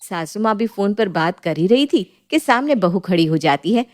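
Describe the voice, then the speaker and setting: A woman storyteller narrating a scripted family story in a lively, colloquial style. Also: mid-range voice, normal speed.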